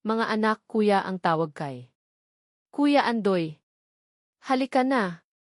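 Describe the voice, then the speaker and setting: A woman voicing an anxious mother in an audio love story, hurried and apologetic. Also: mid-range voice, expressive, no room sound, studio quality. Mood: flustered.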